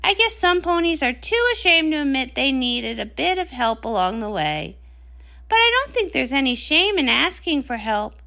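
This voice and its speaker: A woman's voice: high voice, big pitch swings, moderate pace, vocal fry, pushed and bright, exaggerated voice. A woman voicing a whimsical, boastful magical wishing well nymph in a comic read-along, chatty and theatrical. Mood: proud, helpful.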